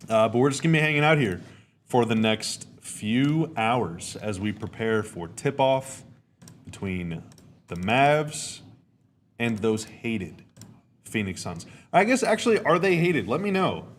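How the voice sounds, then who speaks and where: A young man with a raspy, slightly hoarse voice at an ordinary middle pitch hosts a relaxed live stream from his home and chats with his viewers. A faint hum runs under the recording. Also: measured pace. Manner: chatty, reads chat comments aloud. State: hoarse, dry sinuses. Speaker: podcast host. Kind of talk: casual live-stream chat. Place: home room.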